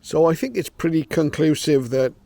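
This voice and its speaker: A man's voice: fairly high pitch, normal speed, gravelly, noisy background. A man giving an informal, matter-of-fact product demonstration, explaining things as he goes. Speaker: presenter of a radio receiver review.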